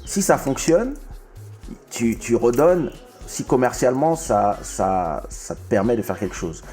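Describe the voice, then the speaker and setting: A man's voice: medium pitch, animated, unhurried, a little mellow, faint music behind. A man from Congo hosting a casual chat, talkative and often stumbling and restarting his sentences. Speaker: podcast host.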